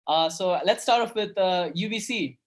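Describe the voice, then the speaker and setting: A man's voice: sits high, normal speed. A young man raised in Kenya hosting an online coding workshop over a video call, upbeat and chatty with lots of fillers. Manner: upbeat, filler-heavy, repeats "awesome". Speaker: workshop host. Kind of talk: casual online workshop talk.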